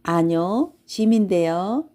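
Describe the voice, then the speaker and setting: Female reader as small boy, curious, polite, matter-of-fact, soft mellow timbre, storybook style. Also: mid-range voice, lively intonation, slow. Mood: polite, explaining. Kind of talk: children's storybook character voice.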